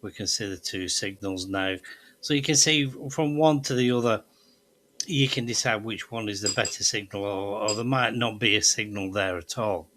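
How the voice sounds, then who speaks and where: A man's voice: fairly low pitch, moderate pace, fairly bright tone, a little hiss or hum. A man presenting an amateur radio tutorial, explaining methodically step by step over a demonstration.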